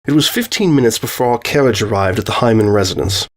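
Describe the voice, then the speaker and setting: A man's voice: mid-range voice, lively intonation, quick pace. A man narrating a scripted short story as its narrator.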